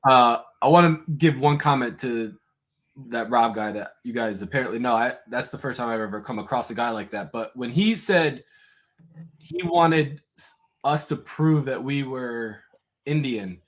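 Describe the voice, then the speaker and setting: A man taking part in a casual online group discussion, explaining his view in a measured way. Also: medium pitch, fairly bright tone.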